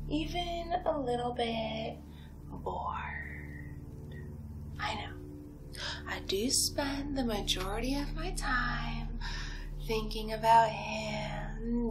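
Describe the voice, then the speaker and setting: A woman acts out a playful young villainess, lonely and possessive, in an ASMR roleplay. Her voice sits fairly high and moves extremely slowly, dipping from coy murmurs into breathy whispers. Music plays clearly behind her. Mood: wistful, lonely. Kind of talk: ASMR roleplay, soft talk and whispering to the listener.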